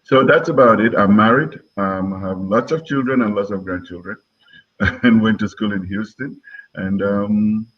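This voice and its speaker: A man in his sixties with a Nigerian accent, from Lagos, giving measured answers as an interview guest on a muffled recording. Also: fairly low pitch. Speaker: interview guest, politician and broadcaster.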